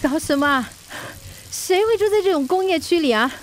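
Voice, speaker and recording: Radio drama delivery mum, extremely high voice, exclaiming and grumbling, rain and thunder behind. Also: female voice, lively intonation, moderate pace, background noise. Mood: distressed complaining. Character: feisty, complaining working mother delivering food in a storm. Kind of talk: Chinese radio drama acting. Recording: rain and thunder sound effects.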